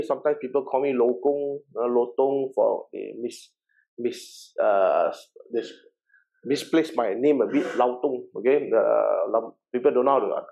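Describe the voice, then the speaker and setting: A Malaysian-accented man in his forties talks a workshop audience through his background at a middle pitch. He is chatty and loose, repeating words and tossing in "okay" checks. The sound is a little dull and lo-fi, like an online session. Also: vocal fry, darkish tone. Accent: Malaysian English. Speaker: workshop presenter and real estate agency founder. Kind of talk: informal seminar presentation.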